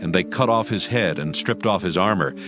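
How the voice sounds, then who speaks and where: A man narrating scripture as a scripted audio drama, with solemn, measured storytelling. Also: sits low. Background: music bed.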